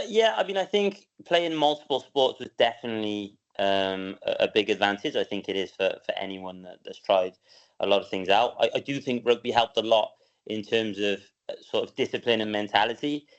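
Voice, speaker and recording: A young man from Wales answering interview questions in a relaxed, hesitant way, with many ums and repeated words. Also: fairly low pitch. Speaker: guest, professional padel player. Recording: dull lo-fi sound.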